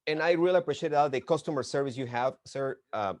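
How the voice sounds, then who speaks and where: Mexican guy interviewing, spanish first language, halting, hunting for words, dry sound no echo. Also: medium pitch. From Mexico City, Mexico. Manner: halting, searching for words in English. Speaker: interviewer, boxing gym representative. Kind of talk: casual video interview.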